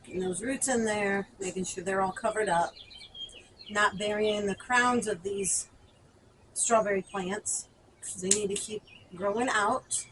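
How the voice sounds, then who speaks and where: A woman's voice: medium pitch, fairly even intonation, unhurried, noisy background. A woman talking informally to viewers as a gardening vlogger, thinking aloud through a hands-on demonstration.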